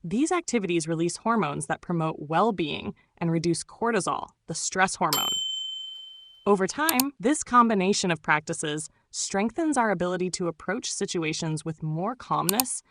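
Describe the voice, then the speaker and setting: A woman delivering a scripted explainer in a measured, instructive way, often posing rhetorical questions as a mindfulness host. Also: lowish voice, expressive, fairly bright tone, slight background noise.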